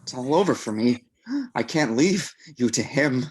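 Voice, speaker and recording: A man playing a tormented, unstable killer, halting and menacing, in an amateur screenplay read over a video call. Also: mid-range voice, lively intonation, a little mellow, slightly rough. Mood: anguished. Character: tormented, unstable male killer pleading with captive lover. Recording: home video-call audio.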